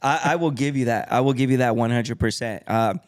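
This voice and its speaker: A man's voice: medium pitch, fairly even intonation, brisk. Enthusiastic podcast host man. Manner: enthusiastic, lots of ums and restarts. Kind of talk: casual chat.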